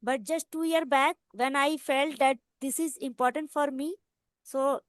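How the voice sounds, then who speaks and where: High-voiced Indian woman, halting learner English. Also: steady tone, no room sound, studio quality. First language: Hindi. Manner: halting learner English with frequent fillers and restarts. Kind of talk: casual English practice conversation.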